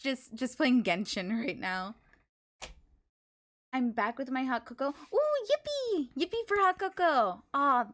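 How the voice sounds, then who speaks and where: A woman live streaming a video game, chatting casually with viewers and thinking aloud in an expressive, exclamatory way. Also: high-pitched. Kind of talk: casual chat with stream viewers while gaming.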